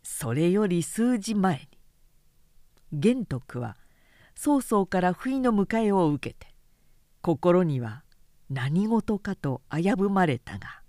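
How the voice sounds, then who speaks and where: A woman narrating a literary audiobook in a measured, expressive, dramatic storytelling manner. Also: lowish voice, no echo, clean studio sound. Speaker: narrator, reader.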